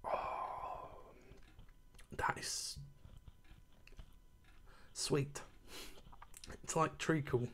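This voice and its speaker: A man's voice: fairly high pitch, steady tone, extremely slow, pauses often, a bit bright, slight background noise. A man in his thirties chatting casually to camera in a kitchen at home, rambling a little and laughing at himself as he reviews a beer.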